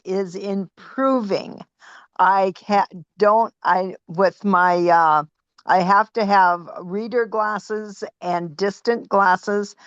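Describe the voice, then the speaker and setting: A woman calling in to a webinar, telling her story slowly and haltingly. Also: mid-range voice, smooth, no room sound, lo-fi sound. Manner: slow and halting. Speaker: caller sharing a testimonial. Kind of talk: casual testimony over a call.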